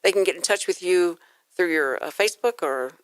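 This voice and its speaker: A woman's voice: fairly low pitch, animated, a little fast, clean tone, dry recording. A woman hosting a casual radio interview in a studio, chatty and playfully self-deprecating, rambling through her questions close to the mic. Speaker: radio show host.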